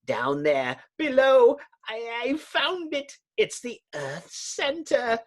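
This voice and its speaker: A woman voicing a bitter, menacing male underground villain in a husky, grandiose, theatrical comic-book reading. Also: fairly high pitch, big pitch swings, slow, heavily acted. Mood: excited, grandiose.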